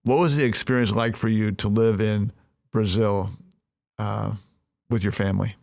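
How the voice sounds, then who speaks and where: A man hosting a podcast leads the conversation with questions and backs his guest up with quick "yeah"s and "sure"s. His voice sits fairly low with a gravelly edge, and the sound is close and dry. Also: steady tone, darkish tone, no echo. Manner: encouraging, brief affirmations. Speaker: podcast host. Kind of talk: interview questions.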